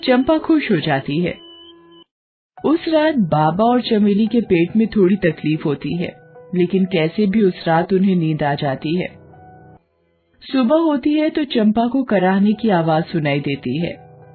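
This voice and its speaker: Woman reading a kids cartoon story, slow and measured, muffled lo-fi audio, faint music. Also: medium pitch, expressive. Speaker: narrator. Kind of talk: scripted storybook narration.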